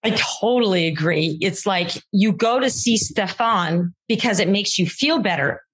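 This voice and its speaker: Playful, breathy-voiced woman co-hosting. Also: medium pitch, animated, moderate pace. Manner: playful, jokey. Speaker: podcast co-host. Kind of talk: casual interview chat.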